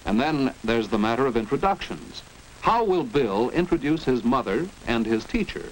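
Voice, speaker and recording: A man's voice: mid-range voice, animated, normal speed. A man with an American accent narrating a 1940s instructional film in a precise, didactic manner. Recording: noisy 1940s educational film soundtrack.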